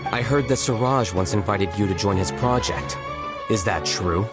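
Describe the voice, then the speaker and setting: A man playing an aloof, rational scholar in a video game, speaking in a measured, precise, detached way. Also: fairly low pitch, over music, natural voice. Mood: detached, firm. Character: aloof, cerebral young male scholar, cool and rational.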